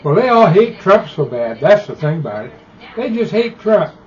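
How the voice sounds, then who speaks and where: A man's voice: sits high, very animated, normal speed. An American man in his eighties chatting casually as an amateur radio operator, with a blunt, opinionated, rambling manner. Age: 80s. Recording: dull lo-fi ham radio audio.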